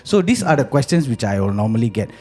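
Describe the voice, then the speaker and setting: A man's voice: medium pitch, very animated, quick pace, darkish tone. A man in his thirties with a Singaporean accent, hosting a podcast in casual, bantering talk sprinkled with local particles. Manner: joking, repeats words and ends phrases with "lah" and "ah". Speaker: podcast host. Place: small room studio. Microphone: close podcast mic on boom arm.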